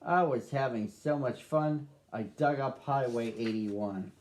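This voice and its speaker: A man reading a rhyming picture book aloud to children, with a slow, sing-song rhythm. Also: medium pitch, darkish tone, a little hiss or hum. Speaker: storybook reader.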